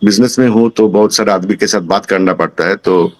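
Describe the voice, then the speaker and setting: A man's voice: lowish voice, brisk. A man of about sixty from Kolkata, giving a casual testimonial as a student. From Kolkata, India. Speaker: singing student and businessman.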